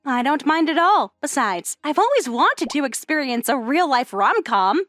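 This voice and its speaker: Woman as anime high school heroine, high voice, expressive intonation, poised, polite, earnest, faint music. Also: moderate pace. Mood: confident, sincere. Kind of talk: anime-style comic dub acting.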